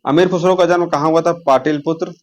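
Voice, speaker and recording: A man's voice: on the high side, steady tone, fast talker. A man teaching an exam lesson, posing questions, answering them himself and repeating key terms.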